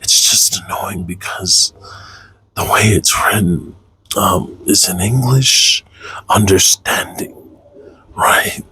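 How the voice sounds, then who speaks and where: A Tongan American man from the United States speaking in a personal, confessional vlog, halting and reflective, with a husky, breathy voice. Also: deep voice, bright, forceful tone, slight background noise, poor audio. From United States, raised Tongan American. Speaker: content creator, father.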